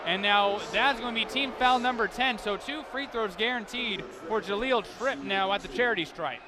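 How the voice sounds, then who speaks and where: High-pitched American man calling basketball. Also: moderate pace. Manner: detailed, running description of the action. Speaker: play-by-play announcer. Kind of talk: live basketball play-by-play commentary. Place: courtside in a large basketball arena. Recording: loud crowd and arena noise behind.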